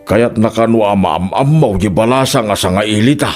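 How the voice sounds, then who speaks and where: A man playing a blunt, disapproving Ilocano father in a radio comedy drama, with an Ilocano Filipino accent and halting, exclaiming delivery. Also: fairly low pitch, darkish tone, soft background music, close to an everyday voice. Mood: worried.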